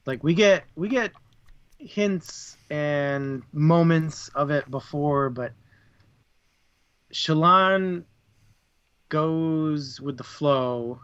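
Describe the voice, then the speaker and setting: Slow-talking man, slightly dull recording. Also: fairly high pitch, a bit bright, smooth, no room sound. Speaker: book club podcast co-host. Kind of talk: casual book discussion.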